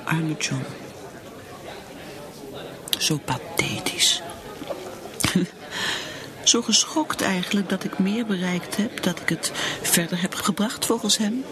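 A woman's voice: fairly low pitch, slow pace, long pauses, crowd noise. An actress with a Netherlands Dutch accent playing a lonely, bitter middle-aged mother, a drinker in denial, brooding and repeating herself in radio drama. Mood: bitter, mocking.

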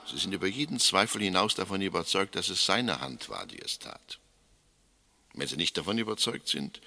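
A man's voice: sits low, bright, forceful tone, heavily acted. A male actor playing a grave English judge who instructs a jury solemnly and emphatically in a German radio play. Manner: solemn, emphatic, deliberate rhetorical phrasing. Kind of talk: 1960s German radio play courtroom speech. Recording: reverberant hall.

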